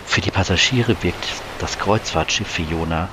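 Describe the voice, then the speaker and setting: A man acting as the sober narrator of a science-fiction radio play, measured and solemn. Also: low voice, noisy background. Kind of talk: scripted dramatic narration.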